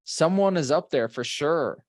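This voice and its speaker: Higher-voiced man reading spooky stories, dry close sound. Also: animated, unhurried, no room sound. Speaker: podcast co-host. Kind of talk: reading ghost stories aloud with joking asides.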